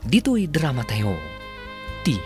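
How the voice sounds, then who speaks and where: A man's voice: fairly high pitch, very animated, slow pace. A man with an Ilocano accent narrating and announcing a radio serial in a dramatic scripted style. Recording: loud music bed under voice. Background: music.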